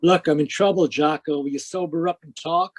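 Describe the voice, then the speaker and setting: A man playing a hard-boiled, wisecracking private investigator in a 1940s-style radio noir drama, deadpan, clipped and sardonic. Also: on the high side, fairly quick, no echo, natural voice. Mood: urgent, impatient. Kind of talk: 1940s radio noir acting with first-person narration. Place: live remote video-call performance.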